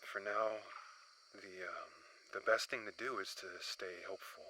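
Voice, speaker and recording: A man playing a weary lone crash survivor, a chief surveyor recording halting audio logs in a sci-fi horror audio drama. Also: deep voice, bright, forceful tone, slight background noise, rough recording. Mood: weary, subdued. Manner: halting, hesitant, sighing delivery.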